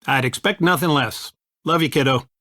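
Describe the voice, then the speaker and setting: A man's voice: fairly high pitch, normal speed, bright, forceful tone, dry recording, clean studio sound. An actor playing a proud, reassuring middle-aged father in a video game, speaking in a folksy, affectionate way. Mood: tender, proud.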